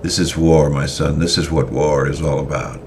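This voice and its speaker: A man's voice: extremely low pitch, moderate pace, creaky, a little mellow, a little hiss or hum. A man voicing a cold, callous, battle-hardened male swordmaster with a commanding manner. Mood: stern, commanding. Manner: commanding, stern.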